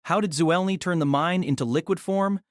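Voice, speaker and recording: A woman's voice: lowish voice, moderate pace, no echo, studio quality. A woman narrating a scripted novel as a dramatic storyteller.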